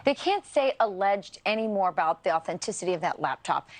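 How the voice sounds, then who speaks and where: A woman's voice: mid-range voice, animated, a little fast, dry recording. A woman presenting on a television news panel, speaking fluently and assertively. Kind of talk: cable news panel talk.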